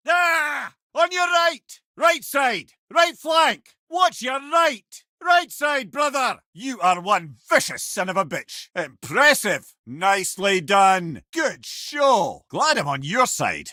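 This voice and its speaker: Older Scottish advisor for a fantasy game: witty raconteur, colourful old-fashioned turns, expressive pitch, dry studio sound. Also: male voice, dry recording, heavily acted. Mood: urgent warning. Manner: wry, conversational, colourful old-fashioned phrasing. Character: witty, worldly older male advisor and storyteller. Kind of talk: video game character dialogue.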